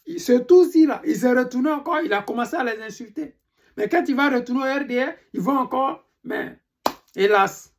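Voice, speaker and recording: Gravelly Ivorian voice, indignant political monologue. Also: medium pitch. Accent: Ivorian French. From Côte d'Ivoire. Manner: indignant, emphatic, repeats questions. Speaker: political commentator. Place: living in Europe.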